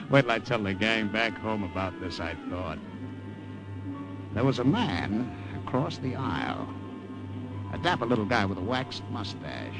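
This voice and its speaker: A man's voice: fairly low pitch, rough recording. A man voicing a nervous American spy posing as an Italian salesman, stammering in scenes and narrating tensely, in 1940s radio style. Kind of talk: 1940s radio acting with first-person narration. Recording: old radio broadcast with music beds.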